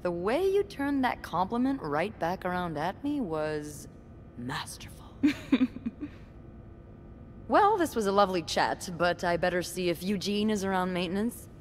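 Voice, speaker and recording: A woman's voice: fairly low pitch, big pitch swings, normal speed, in a large hall, a little hiss or hum. A woman voicing a wry, chatty hotel receptionist in a narrative video game. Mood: amused, admiring.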